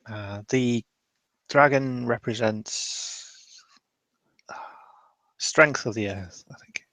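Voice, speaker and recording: A man playing a courteous, dryly doubtful old hermit mage in a tabletop roleplay, musing haltingly in old-fashioned wording. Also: lowish voice, a bit bright, dry recording.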